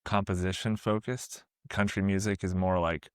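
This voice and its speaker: Low-voiced podcast host. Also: male voice, level tone, normal speed, smooth, dry recording, studio quality. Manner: curious, asks questions, joking asides. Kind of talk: casual podcast conversation.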